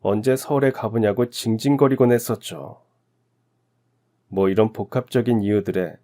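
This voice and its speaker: A man from Daegu tells a first-person story in a calm, reflective, confessional way. His voice sits a little low, with a soft, warm and mellow tone. The reading is steady and scripted. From Daegu, South Korea. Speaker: story narrator.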